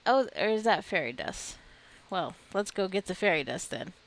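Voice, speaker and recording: A woman's voice: medium pitch, lively intonation, moderate pace, a little hiss or hum. A woman giving casual gameplay commentary, chatty and giggly, often talking straight to her game characters. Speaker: gameplay video creator.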